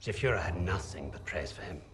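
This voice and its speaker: An actor playing an arrogant officer who interrogates prisoners in a smooth, condescending, measured way. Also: male voice, low-pitched, lively intonation, very noisy. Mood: cold. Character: cold arrogant male Nazi officer interrogator. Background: music.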